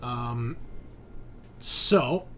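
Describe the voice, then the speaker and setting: A man's voice: medium pitch, drawn-out words, a bit bright, a little hiss or hum. A man acting as game master, casually narrating a tabletop roleplaying game.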